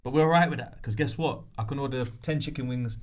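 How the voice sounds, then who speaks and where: A man hosting a podcast, talking in relaxed street slang and backing up his guest. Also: medium pitch, fast talker. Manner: laid-back, affirming street slang. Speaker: podcast host. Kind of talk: casual chat.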